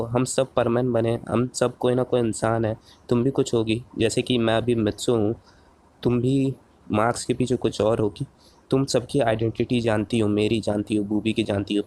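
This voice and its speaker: An excitable boy superhero from a cartoon dub, acted by a man, with a mid-pitched, mellow voice. He sounds earnest and pleading, tripping over repeated words when flustered. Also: natural voice.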